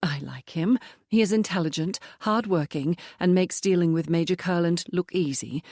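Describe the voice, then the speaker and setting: A woman's voice: fairly low pitch, no echo, studio quality. A woman reading as a firm, composed young woman. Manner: firm, quiet and measured. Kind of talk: audiobook dialogue.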